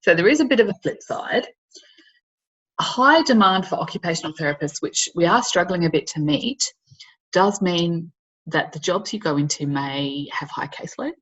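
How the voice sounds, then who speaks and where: Middle-aged woman, Australian accent, slightly croaky, measured presenter, dull lo-fi webinar sound. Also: on the low side, animated, fairly bright tone. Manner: measured, explanatory, with frequent fillers. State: slightly croaky from hay fever. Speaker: occupational therapist giving a webinar.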